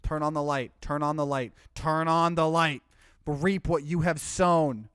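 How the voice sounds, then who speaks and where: A man's voice: on the high side, fairly even intonation, unhurried, a bit bright, smooth, no room sound. Male reader as vengeful ghost in a horror game, bitter, ominous, accusing, dry close sound. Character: vengeful dead victims, bitter and accusing spirits.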